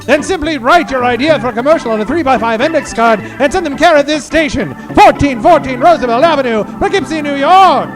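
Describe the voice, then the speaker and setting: A man's voice: very high-pitched, lively intonation, fairly quick, few pauses, music in the background, low-quality recording. A man announcing and narrating in a 1940s radio serial style, theatrical and grandly suspenseful.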